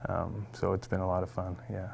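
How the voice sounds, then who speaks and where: A man's voice: deep voice, flat delivery, fairly quick, creaky, a little mellow, a little hiss or hum. A young man, a music teacher, answering interview questions in a friendly, upbeat way and laughing easily.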